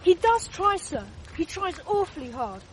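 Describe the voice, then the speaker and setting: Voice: very high-pitched, very animated, moderate pace, a little hiss or hum, low-quality recording. A young performer playing an earnest, obedient English schoolboy, polite and deferential, in a radio drama. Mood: meek, earnest.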